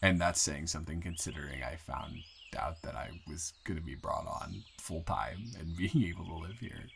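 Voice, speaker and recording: A man playing a nervous, smitten new guy in town in an improvised romantic audio roleplay, halting and playfully teasing. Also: deep voice, creaky, fairly bright tone, a little hiss or hum. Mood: tender, content.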